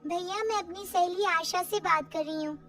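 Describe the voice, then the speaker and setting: Woman as a plaintive, very high-voiced little sister. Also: moderate pace, a bit bright, soft background music. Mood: pleading. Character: indignant young sister, plaintive and stubborn.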